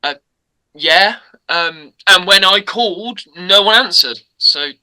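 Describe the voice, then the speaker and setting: A man's voice: sits high, animated, slow, sharp tone, full character voice, rough recording. A man playing an evasive, hesitant teenage boyfriend in an amateur table read of a drama. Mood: defensive. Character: evasive teenage boyfriend protesting his innocence.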